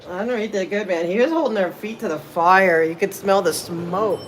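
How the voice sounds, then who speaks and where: A woman's voice: medium pitch, moderate pace. A woman livestreaming from a public building, chatting loosely and thanking viewers as she goes. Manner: rambling, thinks aloud, thanks viewers. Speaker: livestreamer filming city meetings. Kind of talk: casual livestream chat. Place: public building hallways and elevators. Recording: noisy phone stream.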